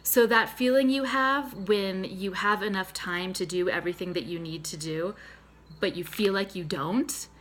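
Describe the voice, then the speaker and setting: A woman's voice: mid-range voice, normal speed, a bit bright, slight background noise. A woman with a Boston accent, a psychotherapist and nutritionist explaining things step by step in a friendly, conversational talk. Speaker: psychotherapist and mental health nutritionist. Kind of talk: casual educational video talk.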